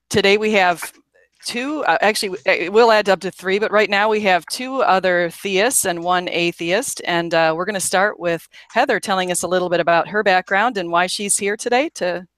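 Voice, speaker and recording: American woman host, smooth clear voice, dry close sound, steers a panel chat. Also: mid-range voice, moderate pace, fairly bright tone, no room sound. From United States. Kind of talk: hosting a casual chat.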